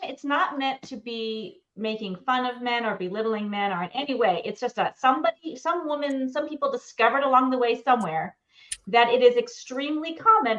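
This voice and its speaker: Female co-host, middle-aged, fairly high voice, expressive casual chat, slightly muffled video-call sound. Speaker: co-host of a pop culture show. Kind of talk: casual live show chat. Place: home room, indoors. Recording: dull, lo-fi video call audio.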